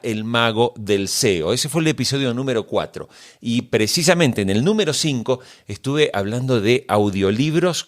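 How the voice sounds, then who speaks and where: Male podcast host and interviewer, mid-range voice, conversational delivery that speaks straight to the listener. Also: mid-range voice.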